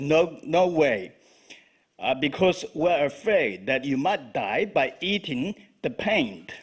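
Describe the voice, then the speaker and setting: Husky slow-speaking man with Chinese accent. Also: a little high. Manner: halting, with frequent fillers. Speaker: poet. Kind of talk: live bilingual poetry reading.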